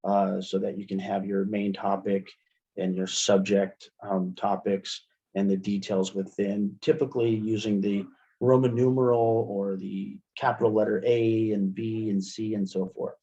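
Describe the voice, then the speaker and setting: A middle-aged American man presenting an online software lesson step by step, in an informal, halting way, often checking in with "right?". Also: on the low side, flat delivery. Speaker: webinar presenter and instructor. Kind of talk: software tutorial, explaining step by step. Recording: muffled, dull computer-call audio.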